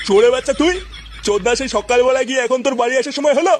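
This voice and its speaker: Man acting a shrill, fast-ranting cartoon family man. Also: background noise. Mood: angry scolding. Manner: scolding, hectoring. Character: irate, foul-mouthed cartoon family man, scolding and threatening. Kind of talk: crude comedy cartoon acting.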